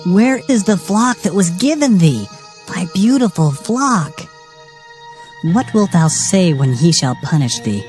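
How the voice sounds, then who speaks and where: A woman's voice: on the low side, very animated, a little slow, darkish tone, faint music behind. A woman narrating dramatized scripture in a solemn, declamatory manner. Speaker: Bible narrator.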